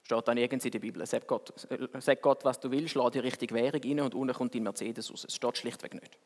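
A man with a Swiss German accent, preaching a sermon in a conversational, wry way that swings between quick and slow delivery. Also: mid-range voice, fairly even intonation, a little mellow. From Switzerland. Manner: conversational, wry, speeds up and slows down for emphasis. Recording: long reverb of a hall.

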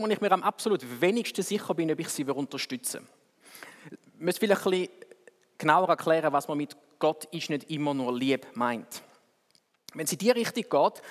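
A man preaching with a Swiss German accent and a slightly high voice. He talks to his congregation in a conversational, wry way, pushing ahead quickly and then slowing down hard for emphasis. His voice rings with the long reverb of a church hall. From Switzerland. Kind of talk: sermon.

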